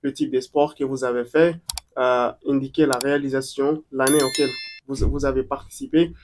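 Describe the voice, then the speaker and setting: A man's voice: mid-range voice, flat delivery, normal speed, noisy background. A young man talking viewers through an online application form step by step, in a plain explaining manner with fillers and restarts. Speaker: tutorial presenter. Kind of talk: screen-recorded walkthrough of an online form.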